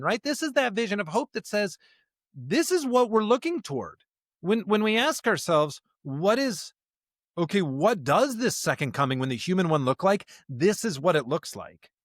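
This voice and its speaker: A man's voice: a little high, fairly bright tone, no room sound. Emphatic man talking scripture, dry close sound. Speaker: podcast co-host, member of clergy. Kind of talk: conversational discussion of scripture.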